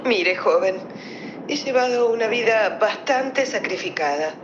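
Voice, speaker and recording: A woman's voice: on the high side, animated, unhurried. Lady doing posh older madame, very grand, radio play, echoey and noisy. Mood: genteel, grand. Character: genteel, theatrical older society lady, self-regarding. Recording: roomy, muffled, noisy recording.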